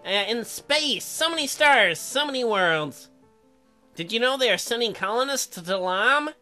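A man voicing an earnest, halting young farmer in love in a visual novel. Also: very high voice, animated, sharp tone, faint music behind, exaggerated voice. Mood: dreamy. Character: earnest young farm man, dreamy lover.

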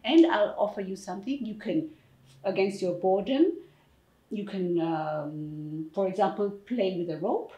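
A young woman playing a patient, sympathetic mother in an educational role-play, gently reassuring and repeating herself to her child. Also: medium pitch, measured pace, close to an everyday voice. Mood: reassuring, coaxing. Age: young adult. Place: echoey indoor meeting room. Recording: echoey room.

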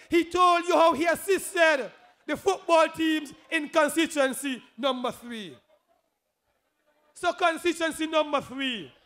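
A man with a Kittitian accent giving an emphatic, rousing political rally speech as a candidate, repeating phrases and raising them to shouts. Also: very high voice.

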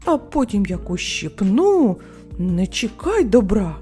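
A woman's voice: mid-range voice, very animated, slow pace, warm tone, soft background music. A woman voicing a boastful, quarrelsome little male duckling in a children's storybook tale. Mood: threatening. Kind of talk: storybook character voice.

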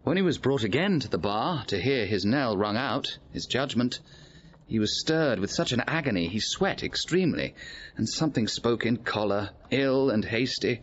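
An actor playing a grave gentleman recounting court news in measured Shakespearean drama style. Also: mid-range voice, expressive, lo-fi sound, natural voice. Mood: grave, informative. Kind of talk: Shakespearean radio drama acting.

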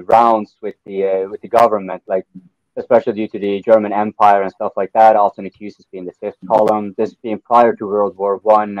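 A man's voice: on the low side, dry recording. A man from Norway chatting on a video call, rambling with many fillers and restarts. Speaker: panelist. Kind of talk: casual video call chat. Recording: dry muffled call audio.

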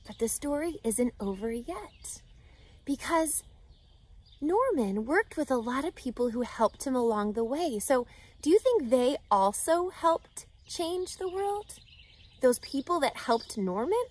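A woman reads a children's story aloud, expressive and emphatic, addressing young listeners. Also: high voice, unhurried, husky. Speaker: reading teacher, storyteller. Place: outdoors in a grassy lakeside yard.

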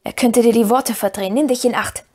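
Lady doing a serious hobbit guy from a fantasy audiobook, firm n measured, bit husky, stern but merciful. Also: on the high side, steady tone, a little mellow, lightly acted. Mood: stern, warning. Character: stern, commanding yet pitying male hobbit.

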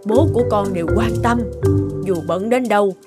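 Woman acting caring older cartoon mother, loud music. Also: medium pitch, normal speed, natural voice. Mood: tender, content. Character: caring, shrewd older mother, devoted wife. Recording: loud background music over voice.

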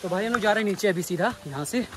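A man in his twenties from India giving excitable, casual vlog commentary outdoors to viewers and friends, recorded on a phone at arm's length. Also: high-pitched, rapid-fire, noisy background. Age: 20s. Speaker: vlogger. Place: outdoors on a rocky hillside and waterfall.

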